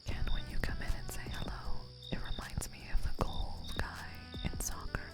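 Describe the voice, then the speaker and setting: Playful whispering woman streamer. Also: normal speed. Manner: soft whispering, playful and giggly, rambling with many "like"s. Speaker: live streamer. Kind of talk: whispered chat with live viewers. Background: music playing.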